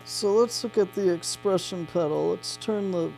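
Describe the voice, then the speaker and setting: Male gear reviewer, slightly high voice, unhurried and halting, casual demo delivery with clear guitar music behind. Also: darkish tone, rough recording. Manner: halting, thinking aloud. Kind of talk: casual off-the-cuff product demo. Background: electric guitar.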